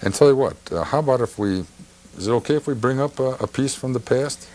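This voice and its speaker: A man's voice: mid-range voice, fast talker, a little mellow. A man hosting a show, chatting casually in a folksy, hesitant way and often interjecting. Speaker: TV show host. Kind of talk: casual chat with a guest.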